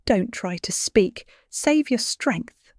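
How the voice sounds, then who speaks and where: Casting: woman as rough, tender-hearted young blacksmith, earnest plain speech, slow delivery, dry close sound, storybook drama. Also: fairly high pitch, expressive, a little mellow, dry recording, voice pushed up. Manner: earnest, troubled, simple speech. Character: huge young male blacksmith, rough, loyal, tender son.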